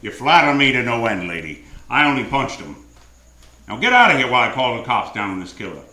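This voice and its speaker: A man's voice: medium pitch, big pitch swings, brisk, bright, forceful tone. A man playing a wry, world-weary private detective in a 1940s-style radio noir drama, talking and narrating in terse tough-guy lines. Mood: wry relief. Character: hard-boiled, wisecracking male private detective, world-weary but soft-hearted. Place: live radio drama on a theatre stage. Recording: roomy live theatre stage, faint music.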